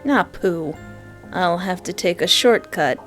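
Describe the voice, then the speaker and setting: Woman lets player, animated dramatic readalong w goofy mild exclamations, music behind her. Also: lowish voice, slow. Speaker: gaming host reading a visual novel aloud. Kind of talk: animated let's play commentary with dramatic read-aloud. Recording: clear background music.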